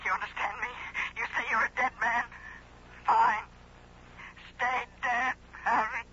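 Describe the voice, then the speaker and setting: A woman's voice: on the high side, fairly even intonation, a little slow, sharp tone. A woman playing a bitter, agitated, worn-down woman in a 1970s radio melodrama. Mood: bitter, distraught. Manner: agitated, emotional. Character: bitter, worn-down woman aged before her time, Edith. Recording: dull, faintly noisy old radio broadcast.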